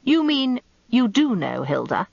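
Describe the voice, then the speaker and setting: Woman plays male solicitor, smooth, flirty, ingratiating. Lively pitch movement, slightly muffled radio drama recording. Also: measured pace, no echo, heavily acted. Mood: wheedling.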